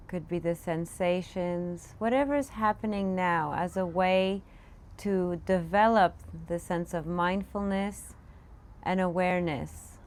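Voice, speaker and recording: A woman meditation teacher leading a guided meditation, giving gentle, unscripted instructions with pauses. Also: on the low side. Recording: clear background noise, room ambience. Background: room ambience, children in the background.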